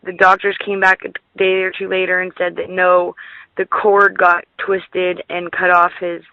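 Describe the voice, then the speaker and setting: A woman's voice: lowish voice, monotone, measured pace, gravelly, no echo. A young woman talking earnestly in a phone conversation, over a slightly muffled line. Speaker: prank call recipient.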